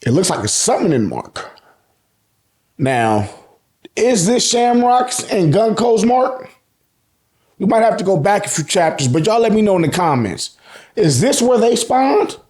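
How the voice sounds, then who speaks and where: A man's voice: high voice, big pitch swings, measured pace, long pauses, darkish tone. An American man hosting a casual, slangy recap, telling the story colloquially and acting out reactions. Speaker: YouTube host recapping manga.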